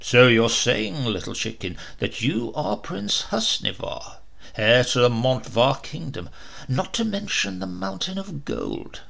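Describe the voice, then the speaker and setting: A man voicing a gruff medieval knight who mocks and sneers. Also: mid-range voice, big pitch swings, measured pace, fairly bright tone, pitched below the performer's own voice, heavily acted. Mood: mocking. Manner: mocking, sneering.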